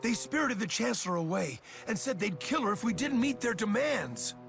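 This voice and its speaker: An actor playing an alarmed guard in a video game, urgently making a report. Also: male voice, high-pitched, big pitch swings, moderate pace, vocal fry, fairly bright tone, soft background music, full character voice. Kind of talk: video game cutscene acting.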